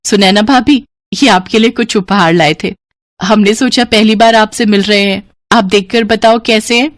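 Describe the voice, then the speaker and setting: A woman's voice: mid-range voice, normal speed, no echo, clean studio sound, close to an everyday voice. A woman voicing devoted, protective village sisters in a moral cartoon, speaking in an earnest, emotional way. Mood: gentle, earnest. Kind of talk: Hindi moral cartoon dubbing.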